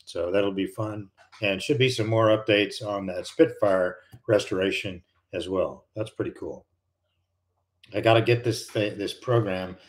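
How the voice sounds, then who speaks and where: Older man, American accent, low voice, casual live-stream host, rambling and conversational. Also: measured pace. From United States. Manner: rambling, conversational, many fillers and restarts. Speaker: livestream host, retired pilot.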